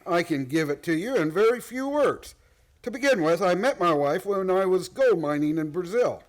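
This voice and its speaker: A man's voice: high-pitched, lively intonation, moderate pace. An actor playing a proud, domineering, wealthy man in a radio play, blunt, formal and defensive. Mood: grudging, frank.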